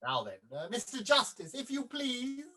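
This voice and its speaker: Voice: medium pitch, huge pitch swings, moderate pace, bright, forceful tone, heavily acted. A performer playing a vain, fussy playwright who is indignant and self-satisfied, in a satirical stage comedy. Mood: exasperated. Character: fussy, vain, exasperated playwright overseeing a rehearsal.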